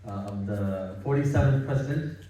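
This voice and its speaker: A man gives an informal religious talk. His voice is soft-edged and mellow, heard at some distance in a very echoey room. He is conversational, circling back over phrases and checking for agreement. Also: lowish voice, normal speed, a little hiss or hum. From Bangladesh. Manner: conversational, repetitive, seeking agreement with tag questions. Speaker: Islamic study circle speaker. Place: gathering in a private house.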